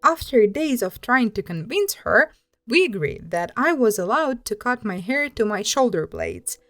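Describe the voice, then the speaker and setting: High-pitched, lively woman reading stories. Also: normal speed, dry recording, studio quality. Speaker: host reading online stories aloud. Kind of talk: scripted story narration.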